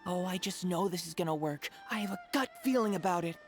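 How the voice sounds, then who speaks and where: A man's voice: high voice, brisk, soft background music. A man playing a pragmatic, exasperated book editor in a video game, blunt and correcting but reluctantly helpful. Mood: mocking. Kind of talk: animated game dialogue.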